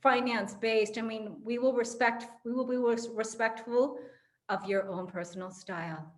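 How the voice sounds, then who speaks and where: A woman's voice: a little high, fairly even intonation, normal speed. A woman with an American accent hosting an online panel and introducing guests in a theatrical, emphatic way. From Los Angeles, United States. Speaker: moderator of a panel event. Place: online video call.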